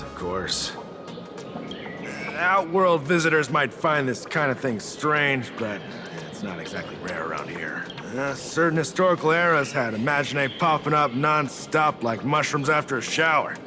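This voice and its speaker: A pedantic, apologetic man voiced by an actor in a video game, chattily explaining. His mid-pitched voice has wide intonation, with music playing behind. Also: animated, measured pace, lightly acted. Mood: explanatory, easygoing. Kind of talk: video game voice acting, exposition.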